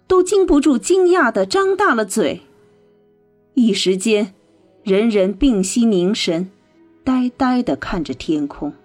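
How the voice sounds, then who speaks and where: A woman reading scripted narration for a radio drama in an expressive storytelling manner. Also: on the high side.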